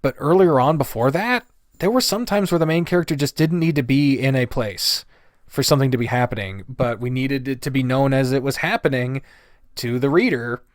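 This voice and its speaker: A man's voice: mid-range voice, a little fast, no room sound, studio quality. A man delivering a casual, blunt, exasperated rant full of swearing, as a reviewer giving his opinions. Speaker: reviewer of visual novels.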